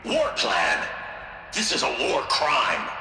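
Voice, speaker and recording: Indignant military man, wildly animated. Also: mid-range voice, slow, vocal fry, full character voice. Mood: appalled. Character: appalled, indignant male military commander. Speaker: military commander. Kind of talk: cinematic video game acting. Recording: echoey room, music underneath. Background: background music.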